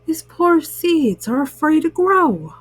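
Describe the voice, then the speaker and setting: A woman voicing a calm, sensible male frog in a children's storybook, giving advice in a patient, reassuring way. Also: high-pitched, slow pace, no echo, exaggerated voice.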